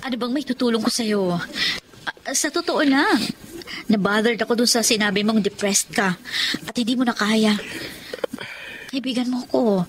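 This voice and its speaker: A woman's voice: fairly high pitch, moderate pace, pauses often, background noise, close to an everyday voice. An actress playing a caring, lovelorn working woman, a devoted friend, in a radio drama with an earnest manner. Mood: soothing, earnest. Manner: soothing, earnest. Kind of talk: Tagalog radio drama acting and narration. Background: music.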